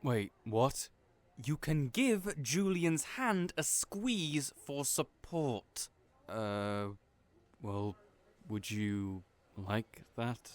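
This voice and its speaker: A man acting in an audio roleplay as a kind, gentle, slightly awkward friend, hesitant and understated in manner. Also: lowish voice. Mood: hesitant, reassuring. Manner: hesitant, soft-hearted, understated. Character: kind, awkward, gentle male friend, guarded about past heartbreak.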